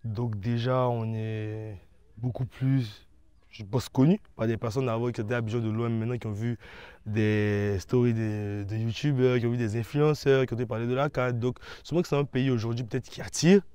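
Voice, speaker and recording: A man's voice: medium pitch, steady tone, normal speed, warm tone, clear, resonant tone, no room sound. A man answering in a conversational interview, explaining his views and often checking that the listener follows. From Ivory Coast. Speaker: interview guest.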